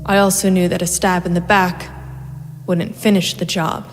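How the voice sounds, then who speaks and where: A woman's voice: lowish voice, little pitch movement, normal speed, long reverb tail, natural voice. An actress playing a cold, methodical female private investigator and vigilante, narrating a noir audio drama in a hard-edged, matter-of-fact way. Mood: bitter, resolute. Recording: music bed behind the voice.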